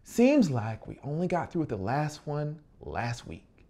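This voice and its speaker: A man's voice: a little high, huge pitch swings, unhurried, warm tone, voice pushed up, exaggerated voice. A man voicing a folksy small-town housewife in an audiobook, chatting in a homely way. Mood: chatty. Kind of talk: dramatic audiobook reading.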